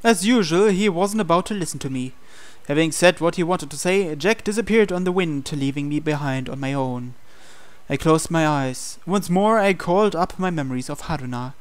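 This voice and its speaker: A man's voice: a little high, normal speed, clean tone. A man reading visual novel text aloud as dramatic scripted narration for a playthrough.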